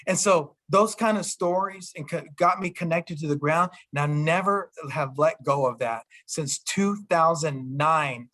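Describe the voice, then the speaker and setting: A government official answering a question in an earnest, storytelling way, with frequent restarts. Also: male voice, high voice, pushed and bright. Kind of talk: virtual town hall talk.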